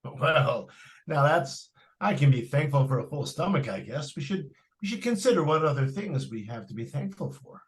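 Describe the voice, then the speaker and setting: A man's voice: on the high side, big pitch swings, moderate pace. Man playing a swooping, patronizing radio-drama dad. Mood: relaxed, sentimental. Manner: hesitant, stammering, patronizing. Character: distracted, indulgent but firm family father. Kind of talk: old-time radio suspense acting. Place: live stage radio-play performance.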